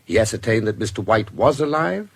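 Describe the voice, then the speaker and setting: A shrewd British investigator in a radio drama, laying out a case with formal precision. His voice is low and rough-edged, and it rises and falls widely as he builds each deliberate point. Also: lively intonation. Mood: assured, explanatory. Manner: formal, measured, deliberate storytelling. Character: shrewd, composed male investigator recounting a case, precise and discreet.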